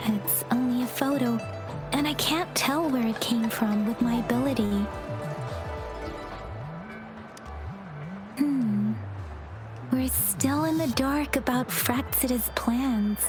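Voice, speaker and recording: Game companion: thoughtful young woman, high gentle voice, cautious and polite, music underneath. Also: unhurried. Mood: pondering, earnest. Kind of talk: video game voice acting.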